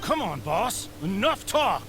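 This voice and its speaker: An actor playing a nervous, sycophantic bandit underling in a video game, wheedling and stammering. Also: male voice, high-pitched, sing-song, slow pace, music in the background, exaggerated voice. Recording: game capture with clear background noise.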